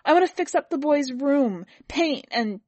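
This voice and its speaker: Audiobook wife, voiced by a woman narrator: higher-pitched, put-upon, earnest, pleading, recorded close and dry. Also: sing-song, moderate pace, warm tone, no room sound. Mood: upset, angry. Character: put-upon pregnant young wife, pleading, rising to anger. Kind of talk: dramatic audiobook character reading.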